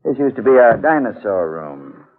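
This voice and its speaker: An actor playing a courteous, cautious museum professor in a 1940s radio drama, genial and explanatory. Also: male voice, mid-range voice, very animated, normal speed. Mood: genial, hospitable. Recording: thin, lo-fi old radio broadcast.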